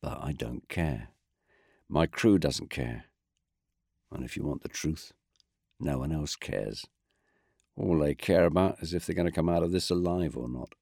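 A man voicing a weary, blunt male squadron commander, talking low, flat and tired. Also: normal speed, creaky, pauses often, dry recording, studio quality, heavily acted.

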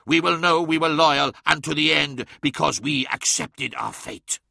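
Man doing a grave sorcerer lord, solemn, measured, bright dry voice. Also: fairly high pitch, creaky, dry recording, pitched above the performer's own voice, exaggerated voice. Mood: resolute. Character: proud, remorseful male sorcerer lord. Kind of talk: audiobook character voice.

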